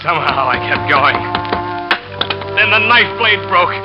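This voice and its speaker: A desperate trapped schemer. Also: male voice, high voice, expressive, unhurried. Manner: tense first-person narration, rising to panic. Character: desperate man, scheming lover turned trapped victim. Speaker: narrator and lead in a radio drama. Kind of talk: 1940s radio acting. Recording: muffled old radio broadcast with music.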